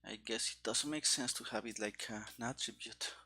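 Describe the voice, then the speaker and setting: A man thinking aloud in a casual, halting mutter as he programs, talking partly to himself. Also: medium pitch, sharp tone, a little hiss or hum. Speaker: programmer narrating live coding. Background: computer keyboard typing and clicking.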